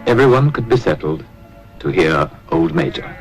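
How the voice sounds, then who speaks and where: A man reading scripted storybook-like narration for a 1950s animated film. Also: low voice, normal speed. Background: music.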